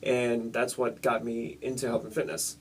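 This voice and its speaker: A young man, from Hong Kong, talks through his story as a fitness coach in a relaxed, casual way, filling pauses with ums and uhs. His voice sits at an ordinary middle pitch. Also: breathy edge. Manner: casual, laid-back, many ums and uhs. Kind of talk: relaxed interview answers.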